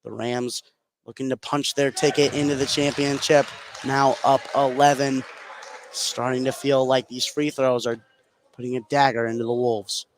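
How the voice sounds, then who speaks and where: A man doing live play-by-play basketball commentary for radio from a high school gym. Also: flat delivery, normal speed, slight background noise, rough recording. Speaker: play-by-play sports announcer.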